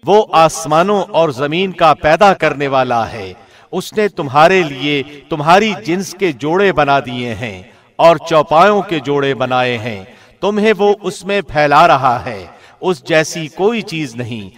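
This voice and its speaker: A man with a slightly high voice narrates translated scripture. His delivery is formal, measured and solemn, like a careful scripted reading. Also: expressive, a bit bright.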